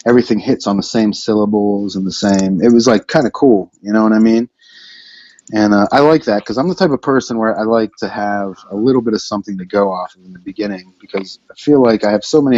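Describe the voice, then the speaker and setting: Man, lowish voice, rambling interviewee, dry and dull remote audio. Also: darkish tone, no echo. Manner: rambling, lots of fillers and restarts. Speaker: band member, vocalist and lyricist. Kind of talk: casual podcast interview.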